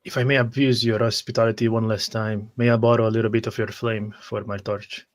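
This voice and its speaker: A man's voice: lowish voice, level tone, a little fast, close to an everyday voice. A man playing a polite, deferential adventurer in a tabletop game, formal and hesitant. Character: polite, deferential, well-mannered male adventurer.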